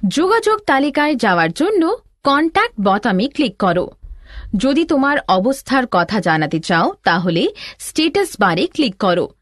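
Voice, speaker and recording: A woman with a high, very lively voice narrates an educational tutorial. She gives scripted instructions step by step, speaking straight to the learner, in a dry, close recording. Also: moderate pace, no room sound. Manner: instructional, addressing the learner directly.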